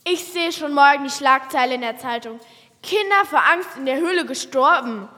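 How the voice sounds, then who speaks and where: A female actor plays a bold eleven-year-old with a high voice. The child questions and talks back indignantly, with long reverb around every word. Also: lively intonation, moderate pace, sharp tone. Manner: challenging, indignant.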